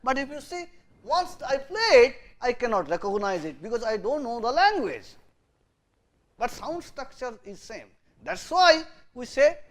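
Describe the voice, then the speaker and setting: A university lecturer whose first language is Bengali, speaking English with an Indian accent and explaining patiently, stressing some words loudly and letting line ends fall quiet. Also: sits high.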